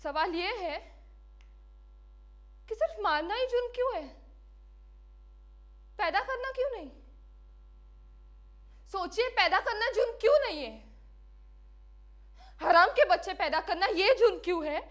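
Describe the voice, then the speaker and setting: A woman playing a defiant, bitter condemned prisoner giving her last testimony in a deliberate, impassioned dramatic monologue. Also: on the high side, a little mellow, lightly acted. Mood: defiant, pleading. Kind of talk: dramatic film-style monologue.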